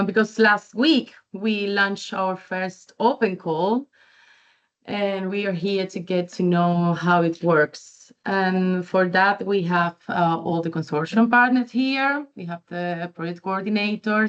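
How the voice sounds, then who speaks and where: A woman's voice: medium pitch, takes it slowly. A woman moderating an online info session, friendly and a little hesitant. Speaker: event moderator. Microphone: video call. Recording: muffled video call audio.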